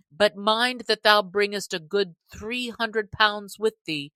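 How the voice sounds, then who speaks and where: Lady reading a jolly young outlaw guy, teasing and cheeky, low and unhurried, dry sound. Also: sharp tone, no room sound. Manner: cheerful, teasing, old-fashioned speech. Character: bold merry young male outlaw, jesting. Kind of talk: audiobook character reading.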